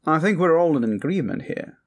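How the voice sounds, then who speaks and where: A man's voice: on the high side, sing-song, brisk. A man voicing a sceptical fantasy companion with a wry, questioning manner in an improvised ASMR roleplay.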